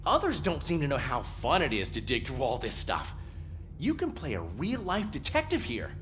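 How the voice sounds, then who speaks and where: Chatty desk cop, lively pitch swings. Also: male voice, moderate pace, faint music behind. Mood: wry, eager. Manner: hesitant, wry, eager small talk. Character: chatty, good-natured desk police officer, a paper pusher.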